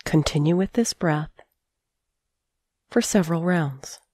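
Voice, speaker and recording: A middle-aged woman guiding a sleep meditation as a teacher, calm and soothing, speaking in measured phrases with long pauses. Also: sits low, slightly rough, no room sound, studio quality. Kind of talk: guided sleep meditation narration.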